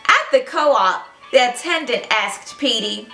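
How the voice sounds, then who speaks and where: A woman hosting a children's read-aloud, narrating in an animated, expressive storybook style and speaking directly to young listeners. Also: fairly high pitch, takes it slowly, roomy sound, soft background music, low-quality recording.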